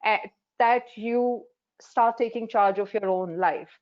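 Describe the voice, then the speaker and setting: A woman's voice: a little high, takes it slowly, muffled recording. Earnest Hindi-speaking woman in her forties. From India. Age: 40s. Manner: earnest, frank storytelling with many fillers. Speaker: life coach. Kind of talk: webinar panel talk. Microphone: video call.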